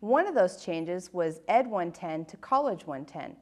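A woman with a slightly low voice hosts a program. She reads a scripted introduction in presenter style. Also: lively intonation, measured pace, darkish tone. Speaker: program host.

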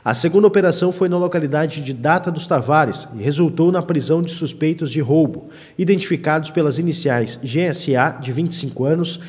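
A man's voice: on the high side, brisk, hardly any pauses, darkish tone. A man reading scripted news reports in voice-over. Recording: long reverb on voice-over.

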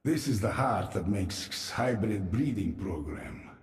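An actor playing a grim, vengeful infested military man in a video game, gravelly and commanding. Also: lowish voice, expressive, slow pace, roomy sound. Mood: briefing. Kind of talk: video game cutscene and mission acting.

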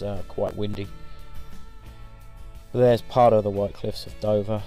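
A man's voice: lowish voice, gravelly, warm tone. A man in his forties giving a casual, rambling voice-over for his driving footage, with many ums and restarts. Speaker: vlogger.

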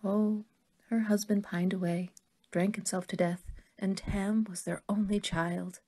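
A woman playing a kindly, worried older woman who believes in fairies, gentle and motherly in an audio drama. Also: mid-range voice, fairly even intonation, unhurried, breathy edge. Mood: grave, haunted.

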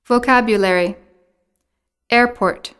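A woman's voice: a little high, slow, fairly bright tone, clean tone. A woman narrating a language course, reading scripted words and sentences with careful enunciation. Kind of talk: scripted lesson reading, word drills.

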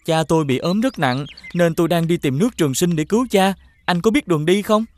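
A man playing a kind, humble young prince in a cartoon, polite and gentle. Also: fairly high pitch, fairly quick, lightly acted. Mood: earnest. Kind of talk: animated fairy tale acting. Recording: music behind the voice.

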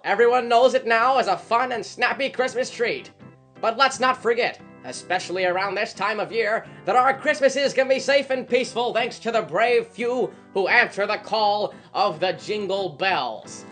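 A man's voice: high voice, moderate pace, bright, forceful tone, faint music behind. A man playing an earnest, folksy golden-age radio announcer in a comedy parody, with a theatrical delivery. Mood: earnest, cheery. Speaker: radio co-host and narrator.

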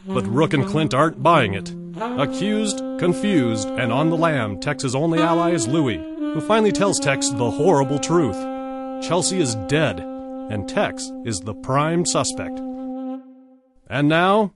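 A man's voice: a little high, big pitch swings, a little slow, loud music behind the voice. An actor playing a portentous, theatrical male announcer recapping an old-time radio serial. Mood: theatrical suspense.

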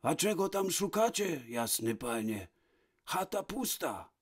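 A man's voice: a little high, sing-song, takes it slowly, slightly rough, voice pushed up, heavily acted. A man voicing an old storybook beggar who calls out plaintively. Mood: reproachful, plaintive. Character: old male beggar calling out by a fence. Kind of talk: fairy-tale storybook character voice.

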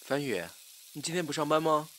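A man's voice: a little high, brisk, a bit bright, a little hiss or hum, close to an everyday voice. A man playing a jealous young ex-boyfriend with insincere sweetness and a pointed, theatrical delivery in an audio drama. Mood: sweet. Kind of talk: Chinese audio drama acting.

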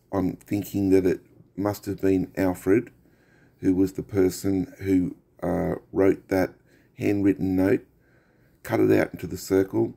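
A middle-aged Australian man with a nasal, stuffed-up voice, narrating a casual collector's video from his workshop, slow and thoughtful with many fillers. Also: low voice, vocal fry, mellow. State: recovering from a head cold. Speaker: steam engine collector. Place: home workshop room.

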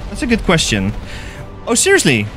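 A man's voice: high-pitched, moderate pace, faint music behind. A young man from the Netherlands with a Dutch accent, streaming a game live, reading chat and swearing freely as he plays. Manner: excitable, swears freely, reads chat aloud. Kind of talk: live gameplay commentary, chatting with viewers. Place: gaming room indoors.

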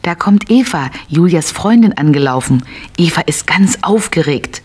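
A woman's voice: on the low side, expressive, normal speed, darkish tone, slightly rough. A woman narrating a scripted children's story as the narrator of a radio play.

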